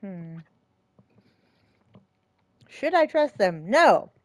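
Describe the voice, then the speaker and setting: A woman's voice: medium pitch, sing-song, very slow, dry recording. A woman commenting casually on a game she plays, with playful muttered asides. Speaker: game streamer. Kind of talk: casual playthrough commentary, reading her character's replies aloud.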